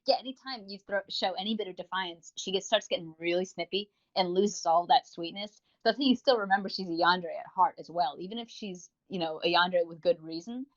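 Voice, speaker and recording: Woman, slightly low voice, rapid speech, enthusiastic rambling, casual podcast review. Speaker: podcast co-host. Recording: dull lo-fi audio.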